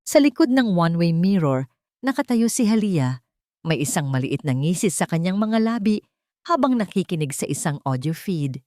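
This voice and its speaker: A woman's voice: lowish voice, very animated, normal speed, warm tone, no echo, studio quality. A woman with a Filipino accent narrating a dramatic scripted story.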